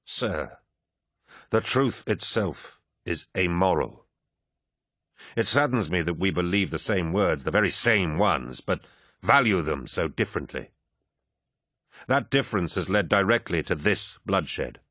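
A man voicing a calm, firm giant warrior in an audiobook, speaking in a measured way. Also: deep voice, no room sound, clean studio sound, exaggerated voice.

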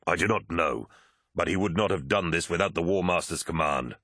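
A man's voice: low-pitched, a little fast, a bit bright, no room sound. A grave, loyal military captain played by a male narrator, earnest and urgent. The delivery is that of a serious sci-fi audiobook, recorded close and dry.

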